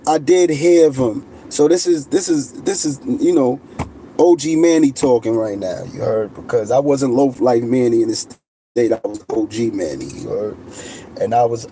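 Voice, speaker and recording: A man's voice: medium pitch, mellow, a little hiss or hum, low-quality recording. A middle-aged man, talking in a casual monologue full of street slang. He speaks insistently and keeps checking in with "you know what I mean". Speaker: channel host.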